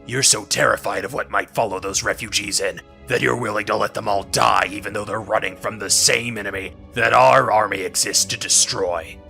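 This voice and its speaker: A gruff older war hero, commanding and defiant, in an audio drama. The voice is low and gravelly with a hard, forceful edge. Music plays underneath. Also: male voice, steady tone, normal speed, few pauses. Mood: outraged, scornful. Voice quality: gravelly, rough. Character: gruff, defiant heroic older military commander, part synthetic.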